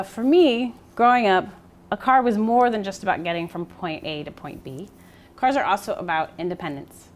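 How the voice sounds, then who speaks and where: A woman's voice: medium pitch, slight background noise. An American woman presenting a scientific seminar, explaining steadily with fillers and self-corrections. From United States. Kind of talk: academic presentation.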